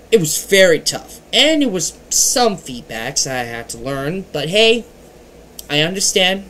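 A young man hosting his own channel, talking earnestly and haltingly straight to a webcam in a dim bedroom at home, his loudness rising and falling as he goes. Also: high voice, slight background noise. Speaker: channel host. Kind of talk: casual webcam vlog. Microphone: webcam at desk.

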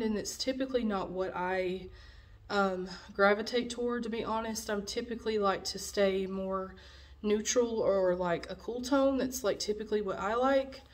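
A young woman with a cold, a little nasal, chatting through a wig review at home with lots of fillers, recorded on a camera in front of her. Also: medium pitch. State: has a cold, slightly nasal. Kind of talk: casual product review vlog. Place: dim room at home, indoors.

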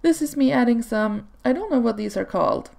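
A woman whose first language is Swedish, chatting casually over gameplay footage in a relaxed way, with frequent ums and small laughs. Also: on the high side, fairly quick, gravelly. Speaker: video creator. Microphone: home desk microphone.

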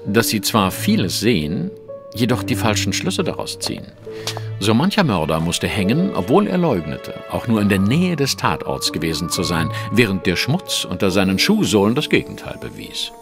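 A coolly self-assured gentleman sleuth in an audio drama. His low voice moves in lively swings as he lectures with formal precision. Music plays clearly behind him. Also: moderate pace, full character voice. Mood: lecturing, superior.

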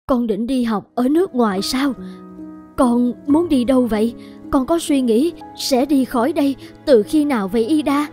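A woman as a gentle, mellow, anxious mother. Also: high-pitched, normal speed, faint music behind, lightly acted. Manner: coaxing, worried. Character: gentle, anxious, devoted poor middle-aged mother. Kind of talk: animated fairy-tale dubbing.

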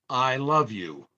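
A man's voice: mid-range voice, pushed and bright, heavily acted. An older man playing a long-suffering, scolding family man in an old-time radio comedy, theatrical and exasperated. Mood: grudging. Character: exasperated, long-suffering grumbling husband and father. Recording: slightly dull online recording.

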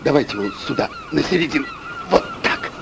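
An actor in a radio play voicing a bold, hot-tempered harpooner with a blunt, forceful manner. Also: male voice, very high voice, huge pitch swings, quick pace, vocal fry, heavy background noise, exaggerated voice. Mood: brisk.

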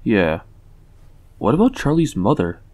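A man's voice: medium pitch, wildly swooping pitch, unhurried, a little mellow. A young man voicing an anxious, earnest young man in a comic dub, hesitant and doubtful in dramatic dialogue. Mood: uneasy. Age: young adult. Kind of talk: dramatic dialogue and inner narration. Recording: music behind the voice.

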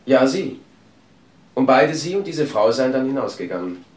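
A man plays a measured police detective in a crime radio drama. His medium voice presses on deliberately and insistently. The room around him echoes. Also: mid-range voice, big pitch swings, a little mellow, natural voice. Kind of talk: German crime radio play acting.

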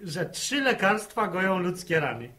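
An actor playing a playful young farm son back from the army, teasing and mock-formal, in an old 1960s radio drama. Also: male voice, high-pitched, big pitch swings, normal speed, bright, forceful tone, heavily acted.

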